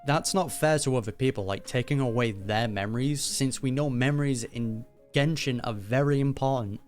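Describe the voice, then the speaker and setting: A game streamer reacts in casual, mid-pitched speech, musing aloud at a close microphone. Game music plays behind the voice. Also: normal speed. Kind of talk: casual gameplay reaction commentary.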